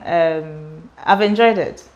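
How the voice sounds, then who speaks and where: A young woman from Kenya whose English carries a British-sounding accent. Her voice sits a little low. She talks casually and expressively in an interview, breaking into laughter often. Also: slow pace, muffled recording. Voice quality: laughs often while talking, chatty and expressive. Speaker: interviewee, student and artist.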